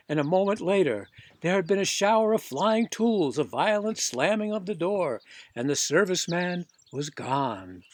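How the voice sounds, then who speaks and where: A man reading a children's book aloud as expressive scripted narration. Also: high voice. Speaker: audiobook reader.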